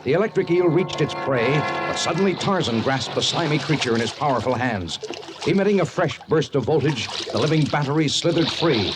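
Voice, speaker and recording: A man's voice: a little high, expressive, brisk, very noisy. A man narrating an old-time radio adventure in a formal, dramatic storytelling style. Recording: vintage radio, loud music underneath. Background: loud music.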